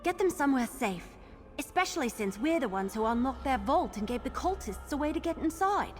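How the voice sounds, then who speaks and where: A woman playing a plucky, earnest young lizardfolk investigator in a fantasy video game, brisk and informal. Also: sits high, sing-song, big-room sound, soft background music. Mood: decisive, earnest.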